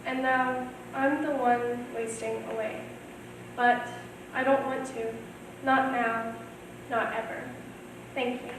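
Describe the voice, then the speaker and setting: Heard in a heavily echoing room from far off, with clear noise, a teenage girl recites a written poetic piece. Her voice is high. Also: steady tone, takes it slowly, long pauses. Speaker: student reader. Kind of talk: scripted poetic reading. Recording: very echoey room, distant mic, noisy.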